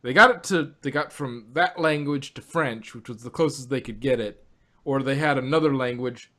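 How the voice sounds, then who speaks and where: Guy cohost rambling thru his point, lots of ums, dry humor, normal pitch. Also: normal speed, pushed and bright. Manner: rambling, filler-heavy, wry. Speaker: podcast co-host. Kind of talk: casual review chat.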